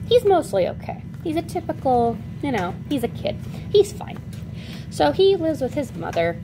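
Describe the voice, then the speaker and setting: A woman's voice: sits high, very animated, normal speed, background noise. A woman storyteller telling a fairy tale in a casual, improvised, conversational way with wry asides.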